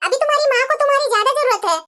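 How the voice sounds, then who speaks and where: A woman playing an older, caring and wounded mother-in-law in a scripted family story, speaking gently and plaintively. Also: extremely high pitch, expressive, very fast, full character voice. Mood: calm, accommodating. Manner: gentle, lamenting.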